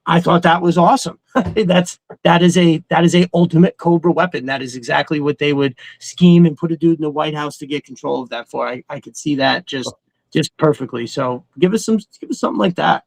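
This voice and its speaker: A man's voice: on the high side, brisk, gravelly, no room sound, rough recording. A man chatting casually and emphatically as a podcast co-host, swearing freely and rambling through his opinions. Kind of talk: casual opinionated fan chat.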